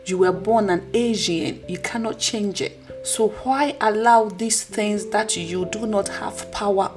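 A woman with a husky voice hosting a podcast, delivering an earnest, preachy motivational talk. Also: mid-range voice, a little mellow. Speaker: podcast host.

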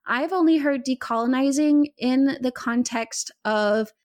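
A woman's voice: high voice, a little slow, a little mellow, dry recording, studio quality. A woman hosting a podcast interview, chatty and laughing often as she talks. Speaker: podcast host. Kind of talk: casual interview chat.

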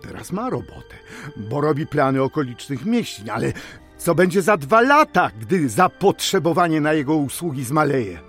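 A man's voice: fairly high pitch, big pitch swings, normal speed, a bit bright, husky, soft background music, full character voice. An actor playing a haughty, class-conscious father in a historical radio drama, talking in a pompous, condescending, cutting way. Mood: condescending, indignant.

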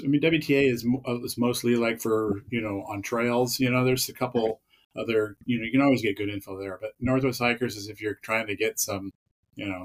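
A man with a fairly low voice talks loosely in an interview. He wanders through his points with lots of "you know" and false starts. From Pacific Northwest of the US. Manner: rambling, many fillers and restarts. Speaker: podcast guest, peakbagger. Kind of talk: casual interview chat.

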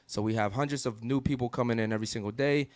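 A man's voice: medium pitch, fast, no echo. A young man hosting a live podcast from a studio, talking loosely and hyping up his viewers, with "guys" and "okay" all the time. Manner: loose, hype-driven, repeats "guys" and "okay". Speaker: podcast host. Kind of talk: casual live podcast chat. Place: studio in Miami.

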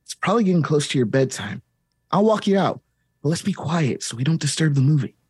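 A bouncy young kids' show host as a man reads him with a husky edge, swinging his pitch all over as he coaxes the viewer along. The sound is dry and close. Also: lively intonation, quick pace, no room sound. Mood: cheery. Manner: singsong, talking to the viewer. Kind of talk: comedic table read.

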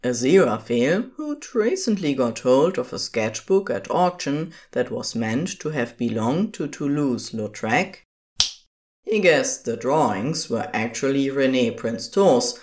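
Female narrator, scripted storytelling, very low pitch, expressive intonation. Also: a little hiss or hum.

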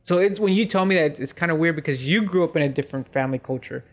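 A man's voice: fairly high pitch, rapid-fire. A middle-aged man from Mexico co-hosting a podcast, talking in a reflective way and repeating words as he thinks. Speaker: podcast co-host. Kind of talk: casual chat.

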